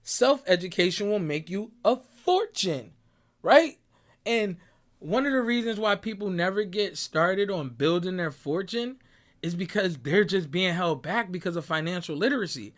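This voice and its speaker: A young man from Barbados with a notably high voice delivers a motivational monologue. He talks in an emphatic, preachy way, tagging his points with "right?" and "bruh" as he drives them home. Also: expressive, moderate pace. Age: young adult. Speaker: motivational speaker. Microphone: audio clip recording.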